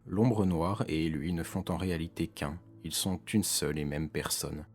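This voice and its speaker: A man giving scripted explanatory narration in French video-essay style, with a measured, serious storytelling manner. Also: low voice, steady tone, a little mellow. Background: background music.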